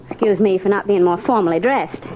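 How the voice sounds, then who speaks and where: An actress playing a brassy, slangy American night club hostess, tough but flirtatious, in a 1940s radio crime drama. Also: female voice, medium pitch, fairly quick, noisy background, lightly acted. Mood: sardonic. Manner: sassy, slangy, world-weary wisecracks. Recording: muffled old radio recording.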